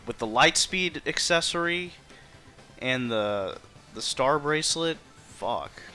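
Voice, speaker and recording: A man's voice: on the high side, expressive, takes it slowly, bright, forceful tone. A man doing casual, sweary gameplay commentary as a video game streamer. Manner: informal, sweary. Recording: game music behind the voice. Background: video game music.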